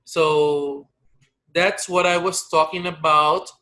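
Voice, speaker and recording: A man teaching an online English grammar lesson, slowly drilling verb forms pronoun by pronoun over a video call. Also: fairly high pitch, steady tone, bright, forceful tone.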